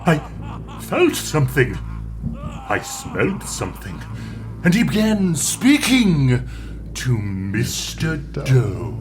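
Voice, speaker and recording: An actor in an audio comedy drama playing a vengeful, theatrical villain brother, pompous and melodramatic. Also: male voice, medium pitch, wildly swooping pitch, takes it slowly, long pauses, faint music behind. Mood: haunted, awed.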